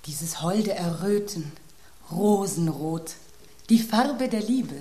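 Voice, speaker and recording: A woman's voice: fairly low pitch, animated, slow, warm tone. An actress playing a swooning, romantic female table object in a radio drama, gushing and dreamy. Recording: roomy studio echo.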